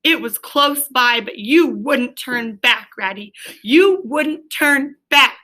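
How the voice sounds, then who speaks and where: A woman's voice: high-pitched, animated, slow, pushed and bright, voice pushed up, full character voice. A woman voicing a homesick, heartbroken little male mole in a children's storybook, pleading in a broken, plaintive way. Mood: tearful, pleading. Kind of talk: children's storybook read aloud.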